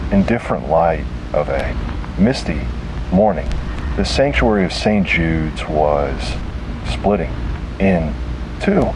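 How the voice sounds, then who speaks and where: A man reading a scripted horror narration in a grave, halting, dramatic way with heavy pauses. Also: low-pitched, noisy background.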